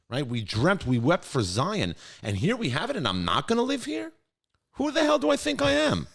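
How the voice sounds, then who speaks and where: A man from New York, a guest in a conversational interview, talking with animated swings of pitch and frequent laughs. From United States (New York). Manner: animated, pitch swings wide when passionate, laughs often. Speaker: guest, school co-CEO.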